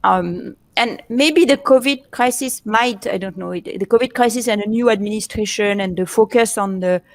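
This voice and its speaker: A woman's voice: mid-range voice, normal speed, no echo. A woman answering on a panel, searching for words with frequent restarts.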